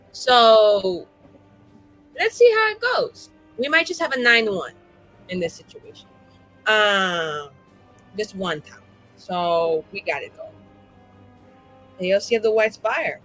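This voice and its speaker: A woman's voice: medium pitch, sing-song, unhurried, long pauses, fairly bright tone, faint music behind, rough recording. A woman chatting casually as a player on a roleplaying show, dramatic, wry and rambling. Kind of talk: casual chat.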